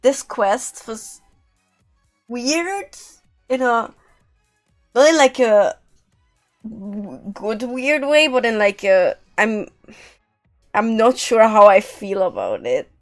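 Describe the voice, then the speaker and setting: A woman giving casual live-stream game commentary in a hesitant, rambling, deadpan way. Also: on the high side, slow pace, pauses often, faint music behind.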